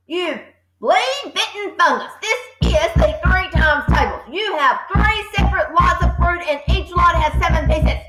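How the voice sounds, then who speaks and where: Bellowing tyrant headmistress, read by a woman, bright voice, slow, dragged-out insults. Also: sits high, reverberant, exaggerated voice, rough recording. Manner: shouting insults. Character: bullying furious giant headmistress woman.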